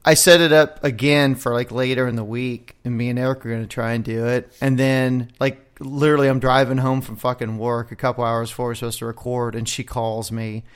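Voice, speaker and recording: A man's voice: medium pitch, little pitch movement, a little fast, hardly any pauses, clear, resonant tone. A man hosting a podcast, telling a rambling story in casual chat with a lot of swearing. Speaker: podcast host.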